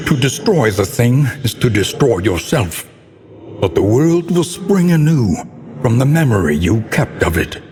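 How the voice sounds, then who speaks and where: An actor playing the grave, brooding narrator of a gothic horror game, speaking in a solemn, portentous, theatrical manner. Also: male voice, on the low side, darkish tone. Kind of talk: scripted dramatic narration. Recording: long cavernous reverb.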